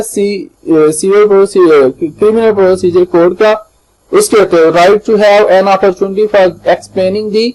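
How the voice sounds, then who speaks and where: High-pitched Pakistani man explaining step by step. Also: a little mellow, low-quality recording. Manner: halting and repetitive, addresses viewers often, reads English legal terms slowly. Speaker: host of a legal explainer channel. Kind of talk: informal slide-by-slide lesson narration.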